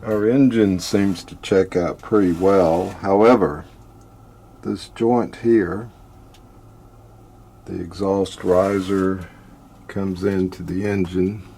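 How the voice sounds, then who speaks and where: Man, very deep voice, slow, mellow tone, muttering how-to talk. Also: fairly even intonation, a little hiss or hum. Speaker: boat owner doing repairs. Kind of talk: casual how-to narration.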